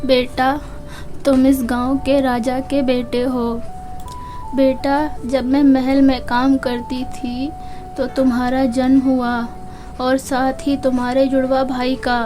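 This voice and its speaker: A woman acts out a gentle servant mother from a children's storybook, high-voiced and very even. She speaks plainly and apologetically, full of remorse, over soft music. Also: slow. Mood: confessing, earnest. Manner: earnest, apologetic, plain-spoken.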